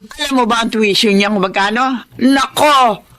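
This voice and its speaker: A woman's voice: fairly high pitch, moderate pace, fairly bright tone, close to an everyday voice. A woman playing a boastful, chatty middle-aged Filipino mother in a radio drama. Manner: bragging, gushing, chatty.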